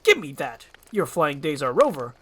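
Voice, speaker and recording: A man's voice: fairly high pitch, sing-song, moderate pace, a bit bright, breathy edge, heavily acted. A man voicing a bossy, impatient head guard with a curt, barking manner in a storybook reading.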